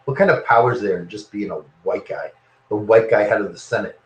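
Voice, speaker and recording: A man's voice: fairly low pitch, quick pace, lo-fi sound, a little hiss or hum. A middle-aged man co-hosting a podcast, teasing and joking in casual banter. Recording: roomy echo.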